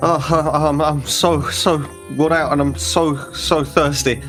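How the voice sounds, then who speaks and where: A man's voice: on the high side, steady tone, fairly bright tone, soft background music, pitched above the performer's own voice. Man playing a stammering, pleading boy. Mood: pleading, feigning exhaustion. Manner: halting, stammering, over-apologetic. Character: boy feigning thirst and sickness, pleading then sulkily sarcastic. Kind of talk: tabletop role-play acting.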